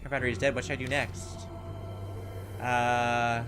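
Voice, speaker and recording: A man's voice: mid-range voice, little pitch movement, normal speed. A man giving casual, chatty commentary on a video game, reading its text aloud and talking back to it. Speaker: gaming video host. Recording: music behind the voice. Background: video game music.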